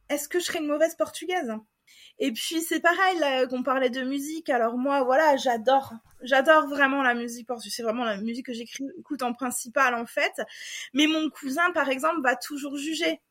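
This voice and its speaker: A young woman from France speaks as a podcast guest in a high voice. She talks through her story haltingly, with lots of fillers and false starts. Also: fairly bright tone. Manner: hesitant, many fillers and restarts. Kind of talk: casual personal testimony.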